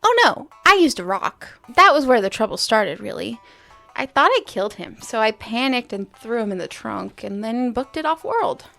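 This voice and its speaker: A woman's voice: on the high side, sing-song, moderate pace, creaky, pushed and bright, soft background music, exaggerated voice. A woman playing a flustered, defensive young university researcher who rambles nervously in a sci-fi comedy audio drama. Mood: sheepish, nervous.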